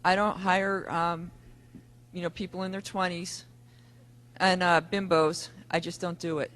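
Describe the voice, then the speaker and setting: A man's voice: high voice, level tone, a bit bright. Rambling man from the audience. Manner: rambling, halting, with restarts. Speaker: audience member. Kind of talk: sharing experience from the audience. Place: conference room.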